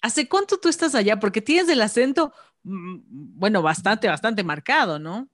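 A woman's voice: mid-range voice, lively intonation, moderate pace, dry recording. A woman radio host with a studio microphone, interviewing a guest in an upbeat, enthusiastic way. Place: radio studio with branded backdrop. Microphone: studio microphone on stand.

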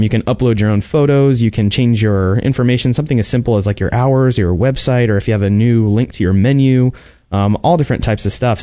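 A man's voice: mid-range voice, warm tone, no room sound, lo-fi sound. A man explaining things casually as a guest expert, in an informal, chatty way.

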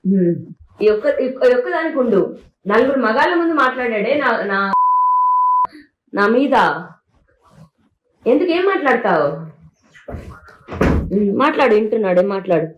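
Woman arguing, kinda high voice, keeps pushing and repeating, echoey amateur recording. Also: lively intonation, normal speed, long pauses, highs cut off. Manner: insistent, repeats questions over and over. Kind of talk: heated argument in a leaked recording.

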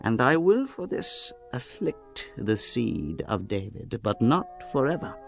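A man's voice: mid-range voice, sing-song, unhurried, creaky. An actor playing a bold, commanding man making solemn pronouncements in a dramatized scripture reading, declaiming in archaic language. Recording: music bed behind the voice.

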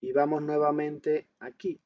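A man's voice: on the high side, little pitch movement, measured pace. A man instructor giving a step-by-step smartphone tutorial in an explanatory way, often asking questions and answering them himself, as a voice-over with dull, lo-fi sound. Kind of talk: step-by-step smartphone tutorial, talking while demonstrating. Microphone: voice-over on a screen recording.

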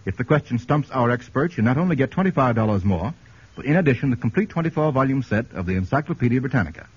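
A man's voice: medium pitch, expressive, fast talker, slightly rough. A man hosting a radio quiz show in the style of the 1940s. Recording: muffled, scratchy 1940s radio broadcast.

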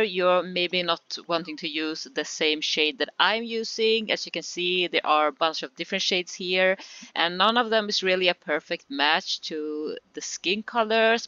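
A woman with a Swedish accent talks through a game tutorial in a chatty, giggly way. Her voice is bright and smooth. The recording is dry and a little muffled. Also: fairly low pitch, no echo. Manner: chatty and giggly, with frequent fillers. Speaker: gaming tutorial creator. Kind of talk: casual game tutorial voice-over.

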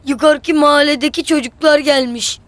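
A woman playing a confident boy, an older pal, in an animated children's cartoon. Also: sits high, normal speed, no room sound, close to an everyday voice. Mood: teasing.

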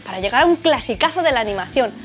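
Female presenter, forties, Castilian Spanish accent. Chatty scripted narration, slightly high voice, music bed underneath. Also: expressive, racing. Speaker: film show presenter. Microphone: lapel mic.

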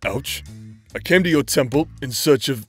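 Man playing a young-brother knight captain, brash, bantering, sarcastic, medium-pitched, anime audio roleplay, soft music behind. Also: expressive, normal speed, slightly rough. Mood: wry, incredulous. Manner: informal, bantering, playfully sarcastic. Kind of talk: anime-style romance audio roleplay acting. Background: background music.

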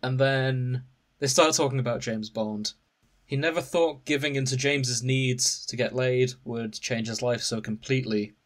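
A man's voice: medium pitch, normal speed. A man podcast host casually recounting a story to friends in an amused, wry manner. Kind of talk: casual chat, recounting fan fiction.

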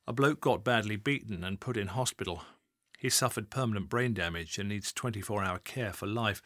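A man voicing a matter-of-fact male police officer who speaks briskly and factually. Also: fairly low pitch, bright, forceful tone, no echo, studio quality. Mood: businesslike.

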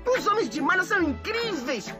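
Hyper mischievous cartoon boy, brazilian accent, high voice all over the place, loud music behind. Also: sing-song, normal speed. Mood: friendly. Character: excitable, mischievous young boy cartoon hero. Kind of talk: animated cartoon dub.

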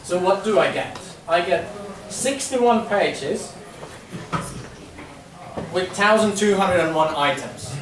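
Finnish guy pitching to a meeting room, bit high voice, caught by a far camera, echoey. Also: expressive, slow, long pauses, background noise. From Finland. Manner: chatty, repeats words, many ums. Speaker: presenter. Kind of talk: business presentation. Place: conference room with audience. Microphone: far camera, no mic.

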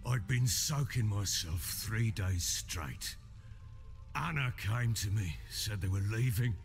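Gravelly, grief-stricken drunken baron. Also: male voice, medium pitch. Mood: bitter, sorrowful. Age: older. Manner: anguished, halting, archaic coarse speech. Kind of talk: dramatic video game acting.

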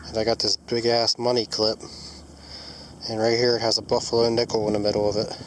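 A man's voice: lowish voice, monotone, fairly quick, noisy background. A man with a cold narrating his metal detecting finds outdoors, speaking casually to viewers while he digs. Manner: plain, upbeat, talks to viewers while working. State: stuffed up from a cold. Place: outdoors in woods and a yard.

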